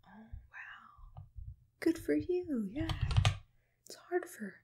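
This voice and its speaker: A woman whispers softly and gently in an ASMR roleplay, asking questions in an attentive, breathy voice close to the microphone. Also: high-pitched, expressive, slow, background noise. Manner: soft-spoken, gentle, attentive personal tone. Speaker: ASMR roleplayer playing a monster matchmaker. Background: computer keyboard typing.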